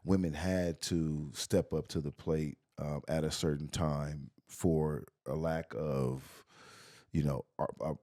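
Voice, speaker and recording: A young man co-hosting a podcast in casual chat, relaxed and repeating phrases for emphasis. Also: rumbling low, no room sound, clean studio sound. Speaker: podcast co-host.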